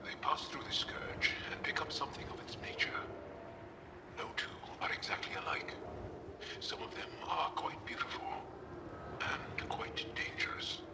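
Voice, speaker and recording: A man's voice: on the high side, flat delivery, moderate pace, close to an everyday voice. A man playing an observant squad companion. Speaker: game character. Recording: game audio with loud background noise.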